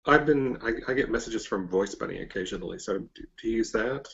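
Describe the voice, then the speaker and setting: An interviewer with an androgynous voice, hosting a casual chat and asking questions haltingly. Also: medium pitch, vocal fry. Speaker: interviewer, host. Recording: dull, lo-fi internet call.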